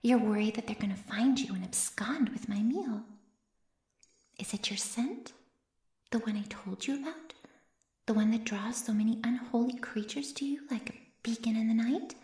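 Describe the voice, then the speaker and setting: A woman's voice: fairly high pitch, normal speed, warm tone, lightly acted. A woman playing an ancient, smugly teasing female vampire in an intimate ASMR roleplay, murmuring coyly and often slipping into a whisper. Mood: mock-soothing, teasing. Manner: coy, breathy murmurs that often drop to a whisper. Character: ancient predatory female vampire, playfully menacing, smugly teasing her captive. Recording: roomy cave-like echo.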